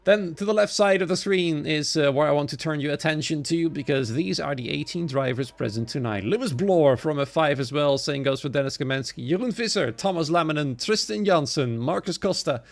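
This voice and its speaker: A man's voice: on the high side, a little fast, vocal fry, hardly any pauses. A man from the Netherlands with a Dutch accent, a native Dutch speaker, giving live solo commentary on sim racing.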